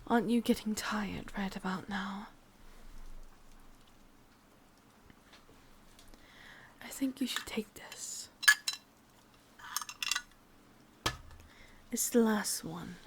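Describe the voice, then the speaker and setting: A woman with an English accent voicing a possessive, sadistic yandere witch in breathy, close-up whispered ASMR roleplay. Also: medium pitch, slight background noise, lightly acted. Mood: soothing, coaxing. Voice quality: breathy, husky. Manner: breathy whispering, slow intimate delivery. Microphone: close microphone.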